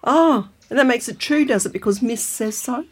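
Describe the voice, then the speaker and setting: Husky, indignant grandmother. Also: fairly high pitch, moderate pace. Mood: sceptical, indignant. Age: older. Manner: indignant, questioning. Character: alarmed, stubborn, gullible grandmother. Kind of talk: comic audio drama dialogue.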